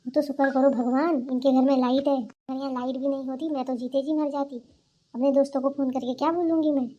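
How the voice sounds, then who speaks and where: Cartoon daughter-in-law, female actor: young, lazy, brash, high soft-toned voice, always complaining and talking down. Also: flat delivery, brisk, lo-fi sound, lightly acted. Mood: grumbling. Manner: scornful, bossy, complaining. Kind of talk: cartoon character dialogue.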